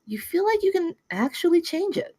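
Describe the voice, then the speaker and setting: A woman's voice: very high-pitched, big pitch swings, moderate pace, a little mellow. A woman reading the part of a frank, earnest writer at a screenplay table read. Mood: enthusiastic. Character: frank, earnest female writer colleague named Peggy.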